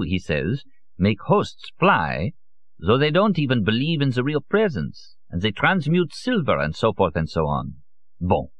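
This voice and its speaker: A man voicing a shrill, almost hysterical occultist with a thick French accent. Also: dry recording, muffled recording. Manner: excitable, indignant, sprinkles French words.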